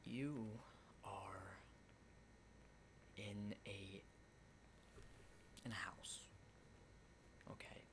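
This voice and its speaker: Whispering, jittery male apocalypse survivor acted by a man. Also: lowish voice. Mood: awkward, relieved. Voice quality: breathy whisper. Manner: halting, repetitive, insistent, often checking with "okay?". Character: jittery, hardened male zombie apocalypse survivor, socially rusty. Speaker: ASMR roleplay performer. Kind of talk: whispered ASMR roleplay monologue. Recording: clearly noisy close whisper recording.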